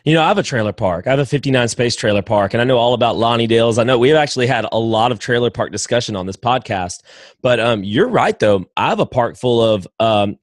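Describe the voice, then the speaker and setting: A man's voice: medium pitch, lively intonation, fast talker, no echo, clean studio sound. A man hosting a podcast interview, who asks his questions in an enthusiastic, rambling way with many restarts. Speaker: podcast host.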